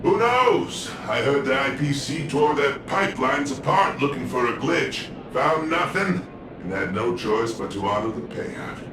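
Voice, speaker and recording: An actor playing an easygoing young patrol guide with a laid-back, breezy way of talking. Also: male voice, mid-range voice, very animated, a little slow, echoey room, far from the mic, noisy background. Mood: chatty. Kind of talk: game voice acting.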